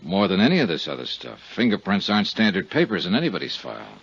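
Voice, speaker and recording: A man's voice: deep voice, huge pitch swings, quick pace, creaky, a bit bright, breathy edge, full character voice. A man with an American accent acting as a dry, clipped, matter-of-fact insurance investigator who narrates a 1950s radio detective drama. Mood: dry, measured. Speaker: lead actor and narrator. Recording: muffled vintage radio recording with music.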